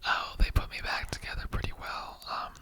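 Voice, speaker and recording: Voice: brisk, sharp tone. Whispered ASMR roleplay, casual skeleton character, mildly offended, rambling, conversational, slangy.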